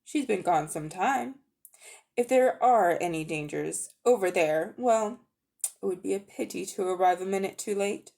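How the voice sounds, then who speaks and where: A woman's voice: mid-range voice, moderate pace, gravelly, darkish tone, natural voice. A woman voicing a haughty, cold-hearted older magician uncle in a dramatic storybook reading, condescending and coolly menacing.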